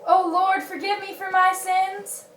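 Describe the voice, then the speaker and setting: In an echoey room, a woman plays a timid, superstitious ship's cook for a radio drama. The voice is extremely high and pleading, drawn out slowly. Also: flat delivery, a bit bright, distant mic. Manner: fearful, pleading. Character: frightened, pious, superstitious male ship's cook. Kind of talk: amateur radio play acting. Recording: echoey room, off mic, faint noise.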